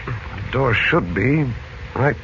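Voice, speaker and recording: An actor playing a resolute, protective heroic uncle in a 1940s-style radio serial, with a commanding, deliberate delivery. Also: male voice, medium pitch, animated. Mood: calm, purposeful. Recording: dull lo-fi old radio, faint noise.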